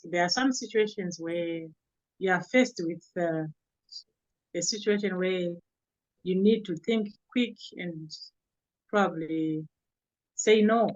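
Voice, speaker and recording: A woman taking part in a group discussion, speaking hesitantly with many pauses as she asks a thoughtful question. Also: on the low side, no echo, dull audio. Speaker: group participant.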